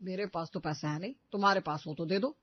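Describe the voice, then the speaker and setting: A man's voice: sits high, quick pace, no echo, muffled recording. A man playing a grumbling but indulgent middle-aged husband and father in a moral story.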